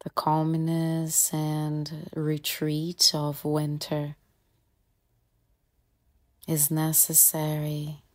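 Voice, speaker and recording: A woman with a low voice leads a guided meditation at an extremely slow pace. Her delivery is calm and gentle, with little rise or fall in pitch. She speaks in short, soothing phrases and leaves long pauses between them.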